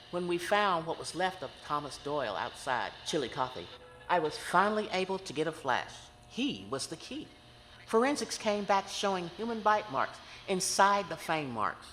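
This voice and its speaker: An actress playing a defiant, solemn psychic medium in an audio horror drama, speaking with theatrical emphasis. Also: female voice, sits low, a bit bright, a little hiss or hum. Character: Southern woman psychic medium, defiant and solemn, aiding investigators.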